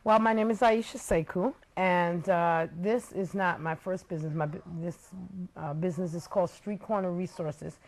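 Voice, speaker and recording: A woman from the Bronx, calmly explaining her work and education as a talk show guest, pausing with the odd filler. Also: fairly low pitch. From the Bronx, New York. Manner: measured, explanatory, some uhs. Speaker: guest, nonprofit founder. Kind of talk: interview answers.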